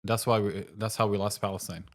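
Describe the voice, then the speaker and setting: Deep-voiced blunt Syrian-born man. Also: fairly even intonation, fairly quick. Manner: blunt, short answers. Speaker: cafe owner, guest. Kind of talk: casual interview talk.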